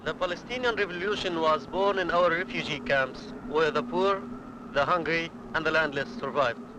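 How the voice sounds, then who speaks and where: A man's voice: a little high, moderate pace, noisy background. A man giving scripted first-person political testimony as narration on a 1970s documentary soundtrack. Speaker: Palestinian militant narrator.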